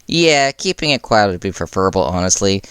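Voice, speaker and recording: Flippant, mischievous male hero for fan audiobook, acted by a male reader, lively delivery, close dry sound. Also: low-pitched, a little fast, smooth, dry recording. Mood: dismissive, guarded. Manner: casual, cheeky, flippant. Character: breezy, mischievous adult male underground superhero.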